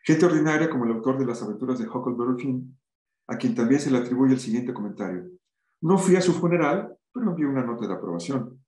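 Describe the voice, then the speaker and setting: A man's voice: medium pitch, expressive, fast talker, darkish tone. Man lecturing in an echoey room. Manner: reads aloud with asides and restarts. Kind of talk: reading and commenting in a lecture.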